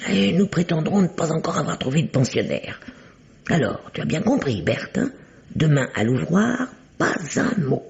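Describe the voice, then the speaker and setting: A woman's voice: low-pitched, sing-song, moderate pace, creaky, a little mellow, heavily acted. An actress playing a prim, suspicious, secretive spinster landlady with hesitant formal politeness in an old French radio mystery. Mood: suspicious, conspiratorial. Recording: dull, band-limited vintage radio recording.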